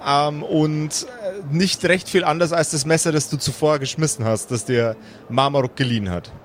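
A man narrating an improvised tabletop game as game master, haltingly searching for his words. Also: a little high.

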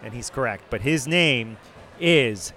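A man's voice: medium pitch, expressive, unhurried, sharp tone. Podcast co-host, man from Buffalo, upbeat and chatty, recorded in a hotel bar with faint noise. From Buffalo area. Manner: upbeat, introduces guests. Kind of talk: casual chat.

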